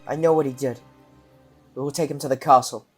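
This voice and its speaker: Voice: mid-range voice, fairly even intonation, brisk, faint music behind, lightly acted. An actor playing an angry, resolute teenage boy wizard in an audio drama. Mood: angry, firm.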